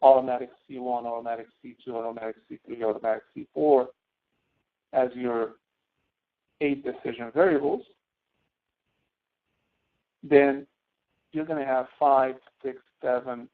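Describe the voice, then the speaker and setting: A man teaching step by step as an instructor in a screen-share tutorial, halting and checking with questions. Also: medium pitch, steady tone. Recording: tinny phone-like online meeting audio.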